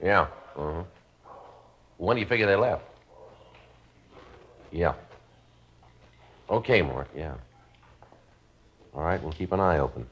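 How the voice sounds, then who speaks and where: A man with an American accent playing a terse, level-headed police sergeant in a 1950s radio drama, speaking in clipped, deadpan sentences. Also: low-pitched, slow, long pauses, husky, heavily acted. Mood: businesslike. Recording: dull, noisy old radio recording.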